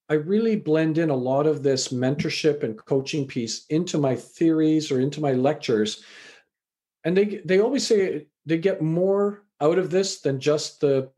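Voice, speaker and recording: A man's voice: a little high, a little mellow. A middle-aged man from Canada, an author and university lecturer, answering interview questions in a reflective, storytelling way with frequent fillers.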